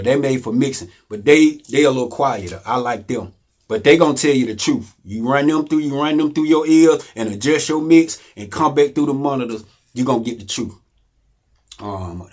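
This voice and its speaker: Deep-voiced man rambling about studio gear. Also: brisk. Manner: loose, rambling, repeats phrases, asks "you know what I mean?". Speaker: home studio instructor. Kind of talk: casual how-to talk. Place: home studio room.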